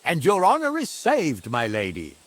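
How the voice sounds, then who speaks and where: An actor playing a boastful bailiff who poses as a chivalrous hero, with pompous courtly flourishes, in a period video game. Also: male voice, fairly high pitch, huge pitch swings, normal speed. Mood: bold, triumphant.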